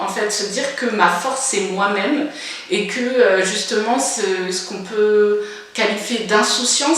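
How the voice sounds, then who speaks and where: A woman's voice: medium pitch, fairly bright tone, very echoey, recorded from a distance. A woman in her forties talking frankly to camera in her first vlog, hesitant with lots of filler sounds but blunt. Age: 40s. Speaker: vlogger. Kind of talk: casual personal monologue.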